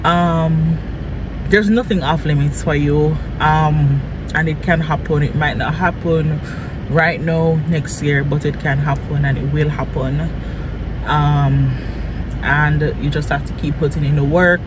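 A man's voice: fairly high pitch, fairly even intonation, unhurried. A man talking casually and earnestly in a motivational vlog, repeating phrases for emphasis. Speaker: small business vlogger and design trainer. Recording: clear vehicle and outdoor noise.

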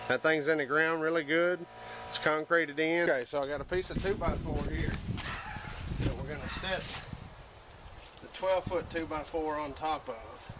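Higher-voiced young man, casual DIY talk, noisy recording. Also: fairly even intonation, normal speed. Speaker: host of a DIY preparedness channel. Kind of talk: casual hands-on tutorial talk. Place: outdoors in a yard and in a wooden workshop. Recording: noisy handheld camera audio.

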